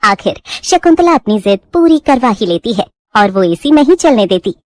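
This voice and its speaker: A woman narrates a scripted bedtime story for children. Her voice is high-pitched and the recording is dry and close. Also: sing-song, normal speed, no echo.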